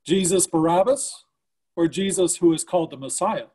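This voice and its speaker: A man's voice: high-pitched, normal speed, dark tone, husky. A man giving a dramatic scripture reading as a church reader.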